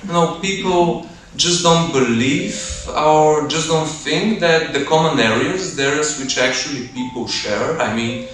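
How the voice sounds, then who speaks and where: A man's voice: on the high side, measured pace, fairly bright tone, faint music behind. A young man from Bulgaria telling a personal story on stage, hesitant and full of fillers. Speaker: student storyteller. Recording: roomy, distant mic, faint noise.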